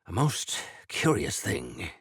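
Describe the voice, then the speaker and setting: An actor playing a spry, jovial yet fierce old rebel elder in a video game, with a gravelly voice and a grandiloquent, mischievous manner. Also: male voice, deep voice, wildly swooping pitch, takes it slowly, a bit bright, dry recording, clean studio sound, full character voice. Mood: wry, grave. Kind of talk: theatrical video game character dialogue.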